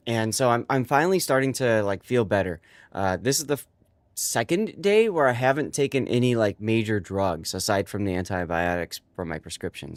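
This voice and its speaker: A man's voice: on the low side, dry recording. A man with a stuffy, congested voice, hosting a live stream and chatting casually with his viewers, rambling with many fillers.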